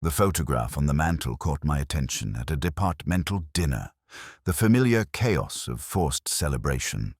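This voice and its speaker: A man reading first-person detective fiction as narrator, in a measured, reflective, literary manner. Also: rumbling low, very animated, husky, no room sound, clean studio sound.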